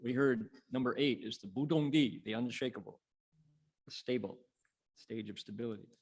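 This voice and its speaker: A man reciting and teaching Buddhist scripture as a monk, in a measured, dramatic storytelling manner with pauses. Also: medium pitch, breathy edge, lo-fi sound. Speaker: Buddhist monk, sutra lecturer. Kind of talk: sutra recitation and dharma lecture.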